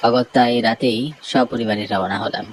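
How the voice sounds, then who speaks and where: A man's voice: fairly low pitch, steady tone, normal speed, a little hiss or hum, close to an everyday voice, poor audio. Man acting a timid young man, fearful storyteller. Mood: uneasy. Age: young adult. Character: timid, anxious young city man afraid of ghosts. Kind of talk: first-person dramatic horror story narration.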